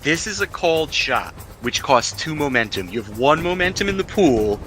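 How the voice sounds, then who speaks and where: A man's voice: fairly high pitch, sing-song, normal speed, a bit bright, soft background music. A man running a tabletop role-playing game as game master, talking informally with the odd swear word.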